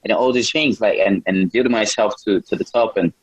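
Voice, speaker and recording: A young man from a small town in Brazil with a Brazilian accent, answering interview questions casually in halting non-native English, often repeating words. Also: on the low side, husky, dry recording, rough recording. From Brazil, small town in the north. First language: Portuguese. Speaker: MMA fighter, podcast guest.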